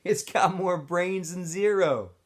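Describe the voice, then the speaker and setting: Man acting a loud, mocking camp counselor. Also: sits high, takes it slowly, voice pushed up. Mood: loud mockery. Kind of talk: storybook character voice.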